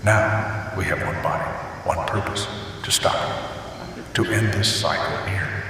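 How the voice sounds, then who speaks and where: A man's voice: low voice, unhurried. A man voicing a vengeful spirit fused from four ghosts, speaking in an accusing, menacing tone. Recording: distant, echoey hall with faint hiss.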